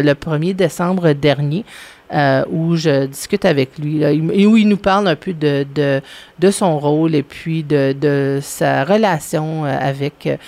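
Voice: medium pitch, gravelly, darkish tone. A correspondent in radio chat, talking hesitantly with many fillers and restarts. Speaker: regional correspondent.

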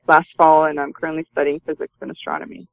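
Creaky-voiced woman, dull roomy recording. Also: low-pitched, little pitch movement, quick pace. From Romania and Canada. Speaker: interview guest, magazine publisher. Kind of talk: conversational interview answers. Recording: dull lo-fi line, roomy sound.

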